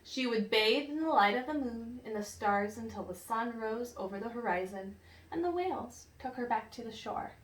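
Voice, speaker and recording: A woman reading a picture book aloud to children in an expressive, teacherly way, pausing to ask questions and explain. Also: a little high. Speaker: read-aloud storyteller for children. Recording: roomy, distant mic with faint noise.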